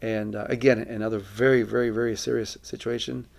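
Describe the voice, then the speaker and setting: A middle-aged man from Colombia speaking as a missionary, giving a personal testimony in an earnest, reflective, deliberate way. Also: on the low side, little pitch movement, creaky, a little mellow.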